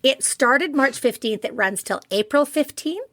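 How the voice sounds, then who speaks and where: A middle-aged woman talks in a casual podcast chat, with a voice pitched a little higher than most. She stays playful and laughs easily as she jokes through event listings. The recording is close and dry. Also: expressive, a little slow, fairly bright tone, dry recording. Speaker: podcast co-host.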